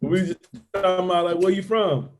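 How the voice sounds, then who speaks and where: Fast-talking slangy male podcast co-host. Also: sits high, fairly even intonation, soft background music. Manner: rapid, loose slangy questions. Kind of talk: casual podcast interview.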